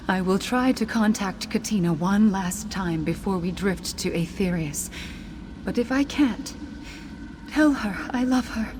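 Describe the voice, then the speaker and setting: An actress voicing the remorseful ghost of a loving mother in a video game, earnest and sorrowful in measured speech. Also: mid-range voice, background noise, close to an everyday voice. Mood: pleading, regretful. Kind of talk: dramatic video game dialogue.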